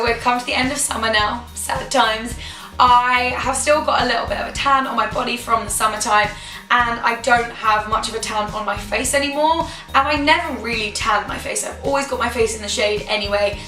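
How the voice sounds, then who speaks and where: A young woman with a hoarse voice, chatting enthusiastically to camera as a beauty vlogger. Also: on the high side, bright, forceful tone. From United Kingdom. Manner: chatty, enthusiastic, repeats words for emphasis. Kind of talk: casual chat to camera. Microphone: camera mic. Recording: echoey room, camera mic from a distance, faint music.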